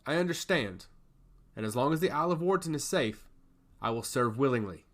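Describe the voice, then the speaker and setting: A man as an earnest, animated fantasy servant hero. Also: medium pitch, fairly quick, close to an everyday voice. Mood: resolute. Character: dutiful, self-assured male mortal turned divine servant. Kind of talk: fantasy audiobook character acting.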